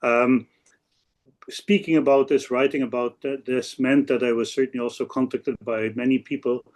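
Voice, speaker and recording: Halting Belgian Dutch-accented man in his sixties. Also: mid-range voice, steady tone, darkish tone. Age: 60s. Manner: halting, with frequent hesitations and repeats. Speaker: law professor on a panel. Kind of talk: introducing own work at a webinar. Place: office.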